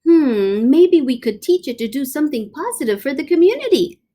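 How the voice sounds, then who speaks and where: A woman voicing a brave, bossy male mouse commander from a storybook, squeaky and commanding. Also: big pitch swings, moderate pace, dark tone. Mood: thoughtful, eager. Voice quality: squeaky, high.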